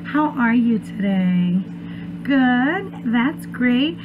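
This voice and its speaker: A woman's voice: fairly high pitch, animated, drawn-out words, music in the background. A young woman speaking as a preschool teacher, reading aloud to children in a sing-song way and asking them questions. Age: young adult. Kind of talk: children's read-aloud lesson. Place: small room at home. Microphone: webcam or laptop at arm's length. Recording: muffled phone-like webcam sound, loud noise.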